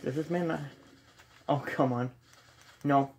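Young man, slightly high voice, sniffly from a cold, rambling game commentary, self-deprecating. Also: fairly even intonation, measured pace, darkish tone. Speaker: gaming channel host. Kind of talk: casual gameplay commentary. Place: dark room at home, filming a TV screen.

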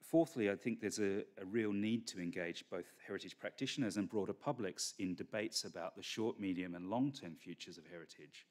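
A middle-aged man giving a keynote lecture from a podium on a conference stage, measured and full of fillers and restarts. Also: on the low side, a little mellow. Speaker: keynote speaker. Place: large conference hall stage. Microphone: podium microphone.

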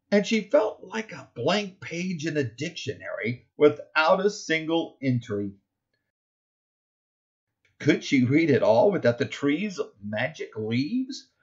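A middle-aged man reads a children's storybook in a fairly high voice with very lively pitch. He is deliberate and expressive, leaning on key words in the rhyme. The home recording is slightly dull. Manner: deliberate, rhyming read-aloud with stressed key words. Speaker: author reading his own picture book.

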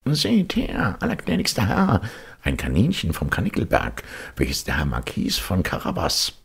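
A man voicing a sly, flattering cat servant from a storybook in an earnest, self-important, theatrical manner. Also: low-pitched, normal speed, creaky, breathy edge, pitched above the performer's own voice, heavily acted. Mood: courtly flattering.

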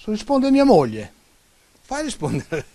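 A man's voice: high voice, wildly swooping pitch, slow pace, mellow. Man in his 60s, hesitant and trailing off, handheld mic. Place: clothing shop. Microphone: handheld interview mic.

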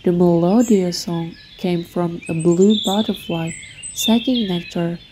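A woman's voice: fairly low pitch, fairly even intonation, measured pace, noisy background. A woman narrating a scripted children's bedtime story as a storybook narrator. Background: background music.

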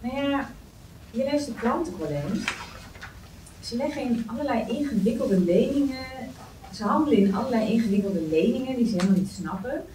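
A woman's voice: a little high, animated, fairly quick, warm tone, lightly acted. A woman playing a chatty, idealistic young woman with an earnest, informal manner at a script table read. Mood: earnest, critical. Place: meeting room. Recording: roomy, distant mic, faint noise.

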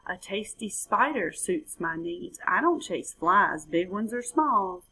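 A woman's voice: medium pitch, animated, slow pace, sharp tone, husky, full character voice, poor audio. A woman voicing a plain-spoken, unimpressed rainforest animal in a children's storybook. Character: matter-of-fact rainforest animal listing its diet.

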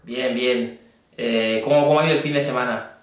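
A man's voice: mid-range voice, fairly even intonation, moderate pace. Young male, casual phone chat, mid-range voice, heavy room echo, off-mic. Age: young adult. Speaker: prank caller, fitness trainer. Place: indoor green screen studio. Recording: very echoey room, distant mic.

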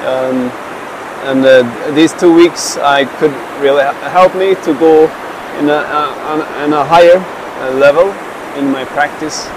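A man in his thirties with a Swedish accent, speaking to the camera outdoors in a slow, halting way with many fillers and restarts. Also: on the high side. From Sweden. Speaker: traveler and meditation practitioner. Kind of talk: casual spoken account to camera. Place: outdoors by a mountain river. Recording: rushing river noise behind.

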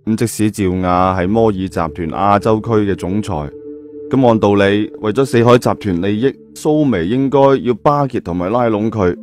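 A man's voice: on the low side, normal speed, faint music behind. A man with a Cantonese accent telling a story in colloquial, dramatic style, with chatty rhetorical asides.

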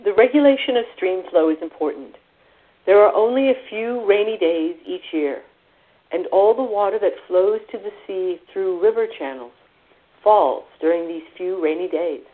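A woman's voice: mid-range voice, moderate pace. A woman, a hydrologist, reading scripted expository prose aloud. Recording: tinny phone-like audio.

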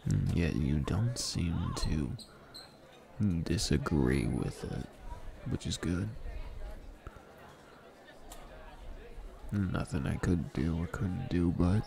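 A man's voice: sits low, expressive, vocal fry, a crowd in the background, heavily acted. A man acting a shy, once-bullied young man standing up for himself in a hesitant, murmured ASMR boyfriend roleplay. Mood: quietly confrontational. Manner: hesitant, murmured, with pauses and hums.

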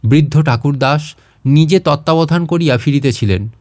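Higher-pitched male storyteller, dry close sound. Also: normal speed, a little mellow, dry recording. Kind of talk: scripted literary narration, audiobook.

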